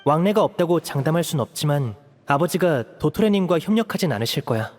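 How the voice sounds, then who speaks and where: A man voicing a composed, polite young man, a dutiful leader of his siblings, calm and measured in a video game. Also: fairly high pitch, in a large hall, natural voice. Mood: calm, reassuring.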